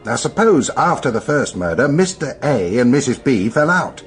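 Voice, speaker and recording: A man's voice: mid-range voice, very animated, normal speed, soft background music, close to an everyday voice. An actor playing a young man who reasons insistently and persuasively as an amateur sleuth in a radio drama. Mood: coolly reasoning. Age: young adult.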